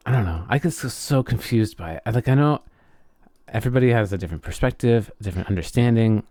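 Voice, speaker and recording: A man chatting casually and reflectively as a podcast co-host, often halting as he searches for words. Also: fairly low pitch, lively intonation, mellow, dry recording, studio quality.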